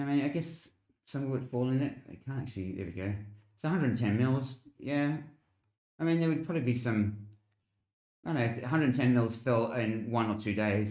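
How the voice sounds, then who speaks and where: A man's voice: mid-range voice, a little mellow, reverberant. A man with an Australian accent hosting a casual live weather stream, explaining things informally as he replies to viewers. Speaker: weather livestream host. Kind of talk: casual live commentary on weather models, replying to chat.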